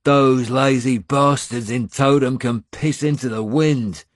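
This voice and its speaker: A man's voice: medium pitch, steady tone, unhurried, no room sound, clean studio sound, pitched above the performer's own voice, close to an everyday voice. A man voicing a boastful, cruel warlord in an audiobook, snarling and sneering as he talks. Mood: scornful. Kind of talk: audiobook character acting.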